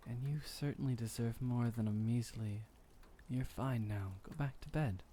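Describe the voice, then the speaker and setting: A man playing a gentle, protective vampire boyfriend in an ASMR roleplay, speaking softly and soothingly in old-fashioned formal phrases. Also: medium pitch, moderate pace, slight background noise, close to an everyday voice. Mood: earnest, devoted. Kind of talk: ASMR roleplay, intimate comforting monologue.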